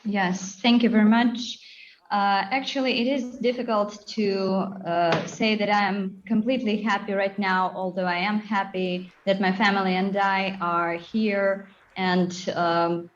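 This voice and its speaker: A woman from Ukraine speaks slowly and earnestly, with hesitant pauses between phrases. Her voice sits at an ordinary middle pitch. The sound is slightly dull and muffled, as if it came in over a remote link. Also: fairly even intonation. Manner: earnest, measured, with many "uh" pauses. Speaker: guest. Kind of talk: radio interview.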